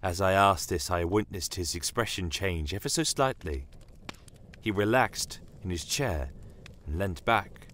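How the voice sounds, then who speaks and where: A man's voice: sits low, animated, a little slow, a bit bright, slight background noise. A man reading scripted first-person dramatic narration for a fantasy story podcast.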